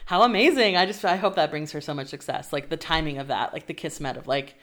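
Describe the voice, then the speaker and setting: A woman's voice: deep voice, fast. A woman hosting a podcast, chatting casually and conversationally with frequent filler phrases. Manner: warm and conversational, frequent "you know". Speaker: podcast host.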